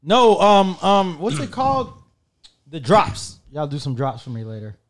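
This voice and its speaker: A man's voice: sits high, sing-song, unhurried, a little hiss or hum. Chill podcast host guy, voice bounces around a lot, setting up mics in a small room. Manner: laid-back, laughs often, fiddles with gear while talking. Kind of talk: casual chat before recording. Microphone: headset studio mic.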